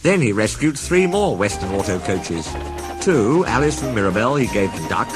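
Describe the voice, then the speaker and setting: A man's voice: on the low side, big pitch swings, moderate pace, over music. A man reading a scripted children's story as its narrator.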